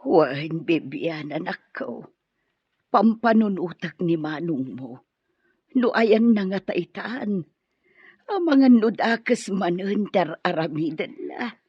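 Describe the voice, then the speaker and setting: Slow, breathy, sorrowful Filipino mother. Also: on the low side, lively intonation, full character voice. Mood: anxious, sorrowful. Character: worried, sorrowful, pious Filipino mother of a wayward son. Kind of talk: Ilocano radio drama acting. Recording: muffled, roomy radio drama audio.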